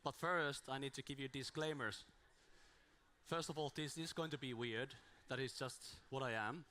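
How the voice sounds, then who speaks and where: A man speaking into a handheld mic at a small conference, his voice strained from days of talking. He wanders through his points with restarts and dry, self-deprecating asides, at an everyday middle pitch. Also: fairly even intonation, moderate pace, pushed and bright. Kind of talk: informal conference talk.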